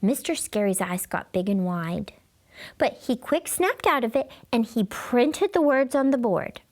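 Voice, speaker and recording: Slow, high-voiced woman reading aloud. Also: animated. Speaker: storybook reader. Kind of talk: expressive children's read-aloud narration.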